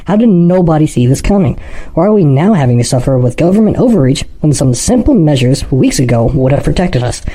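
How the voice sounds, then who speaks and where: Man acting a very dark-toned skeptical opinion host. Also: a little high, close to an everyday voice. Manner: pointed rhetorical questioning. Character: skeptical male cable opinion show host. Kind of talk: political commentary, questioning.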